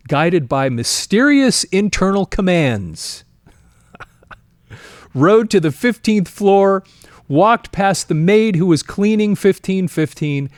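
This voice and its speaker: Man, fairly high voice, chatty storyteller, music history host, reads quotes aloud, amused manner, dry close recording. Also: no room sound. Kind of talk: informal storytelling mixed with reading quotes aloud.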